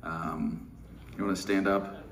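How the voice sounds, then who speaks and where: A middle-aged man from Texas gives a keynote with a wry, self-deprecating humor. His voice sits very low, and he talks at an unhurried pace. The mic is some distance away, with faint conference-room noise behind him. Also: fairly even intonation. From Texas, USA. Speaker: keynote speaker at a pension conference. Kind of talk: live conference speech. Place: large windowless conference room.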